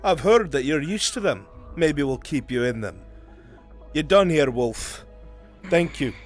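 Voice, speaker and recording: A man's voice: on the high side, expressive, measured pace. Man playing a stern guard officer, faint music. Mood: stern, forceful. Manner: authoritative, curt. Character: stern commanding fantasy town guard officer. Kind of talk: live improvised fantasy roleplay.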